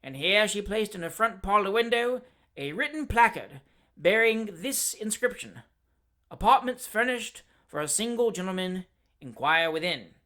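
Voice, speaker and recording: A pompous, blustering barrister, put on by a man reading aloud. He orates in a grand, booming manner and pitches his voice high. The delivery is theatrical throughout. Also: expressive, normal speed, a bit bright. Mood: grandiloquent.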